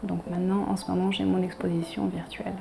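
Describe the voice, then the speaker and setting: A woman with an accent from France speaks reflectively about herself in a documentary interview, often halting mid-phrase. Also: lowish voice, little pitch movement, vocal fry. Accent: French from France. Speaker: painter, interviewee. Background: background music.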